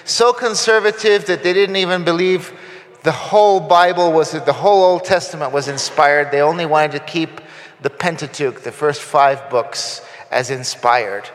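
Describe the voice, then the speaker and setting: A man's voice: fairly high pitch, moderate pace, long reverb tail. A man from Costa Rica giving a conversational sermon, with frequent fillers and restarts. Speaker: guest preacher. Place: church sanctuary.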